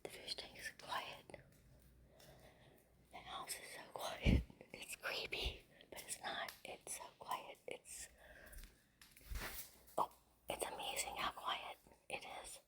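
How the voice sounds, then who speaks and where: A woman talking casually in a breathy whisper, murmuring in wonder and repeating herself, recorded indoors at home. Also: takes it slowly, pauses often. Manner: marveling, repetitive murmuring. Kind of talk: hushed casual self-recorded chat.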